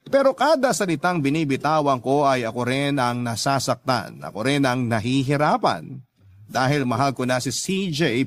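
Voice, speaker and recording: A man's voice: medium pitch, dry recording, lightly acted. A man with a Filipino accent narrating a first-person radio drama story in an earnest, confessional way.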